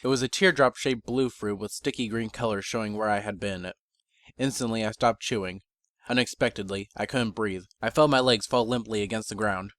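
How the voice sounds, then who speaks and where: A man reading a first-person fantasy story as narrator, in a measured, bookish dramatic reading. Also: lowish voice, a bit bright, no echo.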